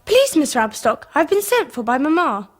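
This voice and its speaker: High lively posh english girl, anxious and polite, victorian radio play. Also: normal speed, no room sound. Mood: timid, questioning. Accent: English upper-class. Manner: earnest, polite, questioning. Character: earnest, anxious, headstrong young Victorian girl. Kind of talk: 1990s radio drama acting.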